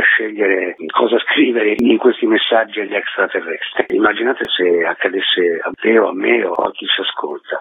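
A man's voice: fairly low pitch, slightly rough. A man explaining things conversationally as an interview guest. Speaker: book publisher interviewed as guest. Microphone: telephone. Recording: tinny phone line with echo.

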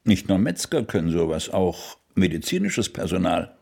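A sardonic male police investigator in a radio crime play. His voice is husky and gravelly, with lively swings of pitch. He questions pointedly and lets insinuation hang in every phrase. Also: normal speed, exaggerated voice. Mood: stern, sardonic. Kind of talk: German radio crime drama.

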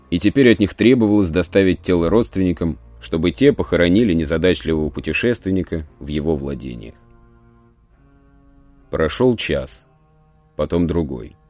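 A man narrating a literary audio play in a measured, solemn storytelling manner. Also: low voice, animated, no echo. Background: soft music.

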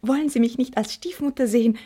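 Soft, breathy young fiancée. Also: female voice, fairly high pitch, fast. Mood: cool, polite. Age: young adult. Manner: formal, restrained. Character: polite, demure young bride-to-be, gentle but self-possessed. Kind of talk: classic German radio comedy acting.

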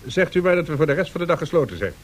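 An anxious businessman, acted by a man in a vintage radio play, earnest and pressing. His voice sits a little high, with faint noise on the old recording. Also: animated, very fast, natural voice. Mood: harried. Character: anxious, urgent male business associate of a tycoon. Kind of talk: 1970s radio drama acting.

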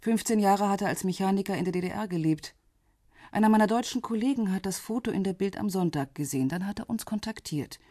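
An actress playing a cool, insistent police intelligence investigator in a radio crime drama, husky, controlled and businesslike. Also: female voice, mid-range voice, quick pace, warm tone, dry recording, studio quality, lightly acted. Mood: factual, businesslike. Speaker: supporting actor.

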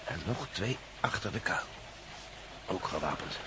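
A determined landowner on the lookout in a radio play. His voice is fairly low, and he speaks in terse, watchful bursts. Clear background noise surrounds him. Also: expressive, unhurried. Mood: determined, tense. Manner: terse, short observations. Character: determined, watchful male landowner spying on intruders. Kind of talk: radio drama acting. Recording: noisy radio play, outdoor ambience.

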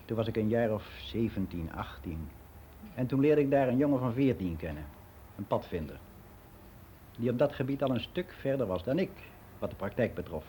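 Actor as mellow older man reminiscing, faint hiss. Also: mid-range voice, expressive, moderate pace, close to an everyday voice. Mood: candid, reminiscing. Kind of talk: radio play monologue as a personal testimony.

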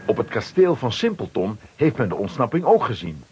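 A man's voice: medium pitch, sing-song, brisk, darkish tone, slightly rough. A man narrating a children's radio play from a script, dramatically.

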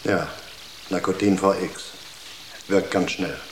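A man playing an unruffled, reassuring spaceship crewman in a German sci-fi radio drama, terse and even-tempered. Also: sits low, slow pace, reverberant, noisy background. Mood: matter-of-fact.

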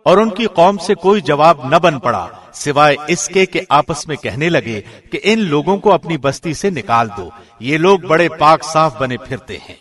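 A man reading a scripture translation from a script in formal, deliberate radio presenter diction. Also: fairly high pitch, animated, a bit bright.

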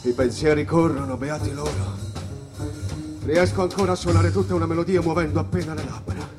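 A man's voice: on the high side, brisk, music in the background, lightly acted. A man acting a huge, paralysed, dying musician in a radio play, with a laboured delivery and a mind still racing. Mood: defiant. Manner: laboured, building from near whisper to intensity. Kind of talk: theatrical monologue in an Italian radio play. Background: instrumental jazz.

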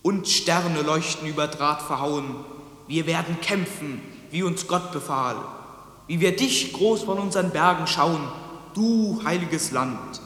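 Solemn patriotic declaimer, echoing hall. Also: male voice, fairly high pitch, a bit bright. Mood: solemn, fervent. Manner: slow, exalted, declamatory. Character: solemn male reciter of fervent wartime patriotic verse. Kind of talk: solemn verse declamation in radio drama. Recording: echoing hall with long reverb.

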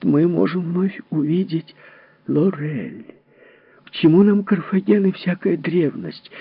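Male reader doing a grieving middle-aged mother, high and pleading, gravelly, dry lo-fi sound. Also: dry recording. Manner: pleading, rising to shrieks. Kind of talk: audiobook reading. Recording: dull lo-fi recording.

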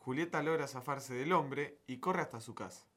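A man's voice: mid-range voice, moderate pace, bright, forceful tone. A man reading scripted narration for a radio drama.

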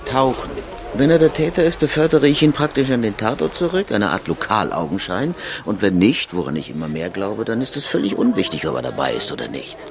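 A man's voice: lowish voice, very animated, brisk, a crowd in the background. An actor playing a dogged male police detective in a radio crime drama, probing and dryly ironic. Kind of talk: radio play dialogue.

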